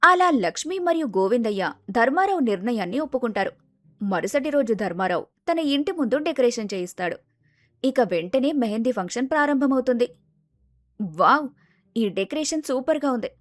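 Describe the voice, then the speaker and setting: A woman's voice: a little high, lively intonation, a little fast, dry recording. A woman reading scripted narration for a moral story as its storyteller.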